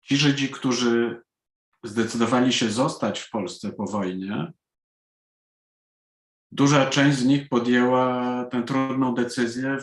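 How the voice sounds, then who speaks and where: A man from Poland, an author, answering interview questions at a live event. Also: mid-range voice. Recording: roomy, echoing sound.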